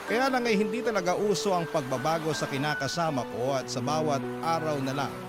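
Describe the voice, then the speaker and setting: A man's voice: on the high side, a little fast. A man reading a first-person letter as dramatic scripted narration, in a colloquial storytelling manner. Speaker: storytelling host. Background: prominent music.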